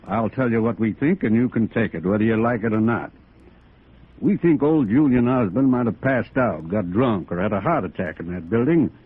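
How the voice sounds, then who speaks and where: A man's voice: on the low side, lively intonation, moderate pace, breathy edge. An American man playing a stubborn, defensive fire chief in a 1950s radio drama, folksy, curt and patronising. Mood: gruff, defensive. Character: gruff, stubborn, defensive small-town male fire chief. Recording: muffled, phone-thin old radio recording.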